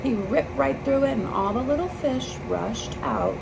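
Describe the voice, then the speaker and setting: Gravelly-edged woman reading a children's storybook. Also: sits high, a little slow. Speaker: children's picture book reader. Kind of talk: read-aloud storybook narration. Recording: clear steady background noise.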